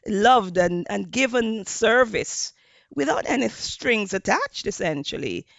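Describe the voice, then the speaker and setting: A woman from Jamaica with a Jamaican accent, a hospitality professor giving measured interview answers with frequent fillers. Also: mid-range voice, big pitch swings, gravelly, fairly bright tone, no room sound, muffled recording.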